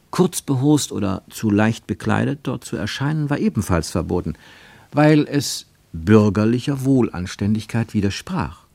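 A man with pronunciation reading literary prose for radio, measured and deliberate, with emphatic phrasing and pauses. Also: mid-range voice, creaky, darkish tone, no room sound. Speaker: narrator, reader of literary prose. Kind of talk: scripted literary narration for German radio.

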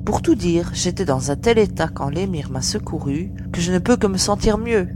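A woman's voice: lowish voice, expressive, normal speed, lightly acted. Woman playing a good-humoured young adventurer over music. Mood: amused, easy. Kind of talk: French audio drama acting.

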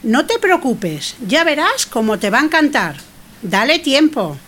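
A woman's voice: high voice, sing-song, measured pace, fairly bright tone, heavily acted. A woman playing an affectionate, reassuring mother in an audio drama. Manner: coaxing, reassuring. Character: affectionate, dismissive mother reassuring her child.

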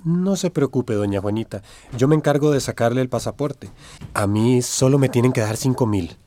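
An actor playing a pious, reassuring, deceitful pastor in a radio drama. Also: male voice, medium pitch, normal speed, warm tone, slight background noise, close to an everyday voice. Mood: reassuring, persuasive. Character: smooth-talking, pious pastor who deceives families.

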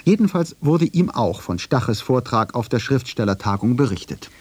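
A man delivers scripted narration for a radio feature as part of a dialogue. His pitch is medium and the recording is dry, with no room around the voice. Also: very animated, normal speed, darkish tone, breathy edge, dry recording.

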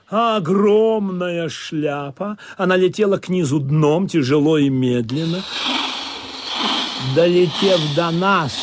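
A man giving expressive, dramatic first-person narration in a theatrical radio play. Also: high-pitched, noisy background. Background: music.

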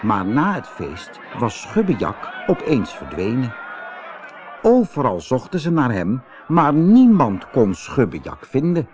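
Very animated male storyteller, unhurried. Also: medium pitch, slight background noise. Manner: expressive, dramatic. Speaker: narrator. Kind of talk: scripted children's audiobook storytelling.

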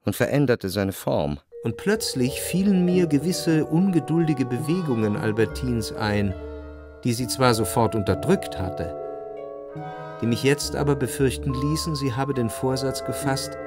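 An actor playing a reflective first-person literary narrator in a radio play, measured and introspective. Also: male voice, mid-range voice, animated. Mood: pained, introspective. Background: music.

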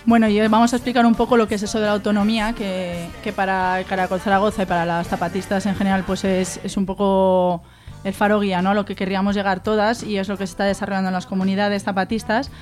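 A woman's voice: medium pitch, little pitch movement, quick pace, darkish tone, soft background music. A woman with an accent from Spain explains informally on the radio, didactically asking questions and answering them herself. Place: live radio studio.

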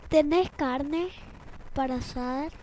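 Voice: high voice, slow, darkish tone, poor audio. A child doing improvised role-play in Spanish, with faint noise in the background.

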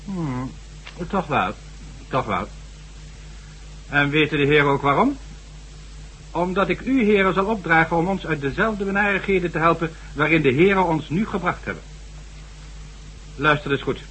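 A man's voice: fairly high pitch, normal speed, long pauses, sharp tone, low-quality recording. An actor playing a stern, sardonic government official in a radio drama, formal and condescending as he lectures. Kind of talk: Dutch radio drama acting. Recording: faint hum and noise, old radio recording.

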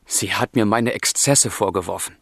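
A man's voice: fairly low pitch, normal speed, creaky, no room sound, clean studio sound. An actor playing a passionate, tormented young man in a literary radio drama, speaking in formal, intense language. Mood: anguished, passionate. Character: passionate, tormented young man of feeling, letter-writing lover. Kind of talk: German literary radio drama, monologue and dialogue.

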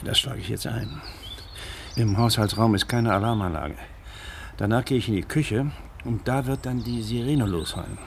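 An actor playing a burly older burglar in a radio drama, matter-of-fact and commanding, giving terse instructions. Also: male voice, fairly low pitch, moderate pace, gravelly, slight background noise. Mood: calm, businesslike.

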